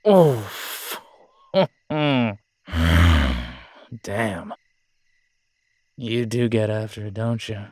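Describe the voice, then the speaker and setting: A man's voice: lowish voice, expressive, drawn-out words, pauses often, warm tone, no room sound, studio quality. A man playing a wry, easygoing werewolf pack leader in an audio roleplay drama, with a casual, teasing manner.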